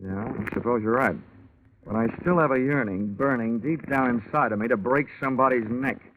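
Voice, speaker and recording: A man's voice: medium pitch, a little fast, natural voice. An American man playing a brash, wisecracking hard-boiled investigator in a 1940s radio drama, with a wry, slangy delivery. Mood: irritated, sardonic. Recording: tinny, noisy 1940s radio recording.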